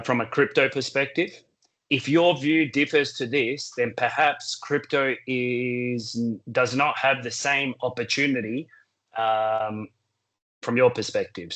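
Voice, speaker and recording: A man with a sore throat, losing his voice, talking through a solo market update in a measured, explanatory way. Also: medium pitch, a bit bright. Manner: measured, explanatory, works through points in numbered order. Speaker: crypto market commentator.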